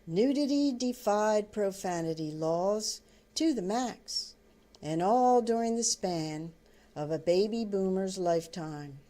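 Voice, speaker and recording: An older woman reading her own book aloud as storybook narration, in deliberate, halting phrases. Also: medium pitch, dark tone.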